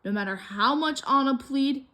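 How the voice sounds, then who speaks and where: A woman telling a children's story from a book in an expressive way. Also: high voice, normal speed. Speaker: storybook reader.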